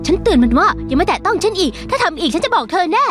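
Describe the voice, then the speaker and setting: A blunt, fiercely loyal young friend who scolds fast and hotly. Her voice sits very high and the words tumble out quickly. Soft music plays behind her. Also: big pitch swings, full character voice. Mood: exasperated. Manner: heated, scolding. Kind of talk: dubbed drama acting.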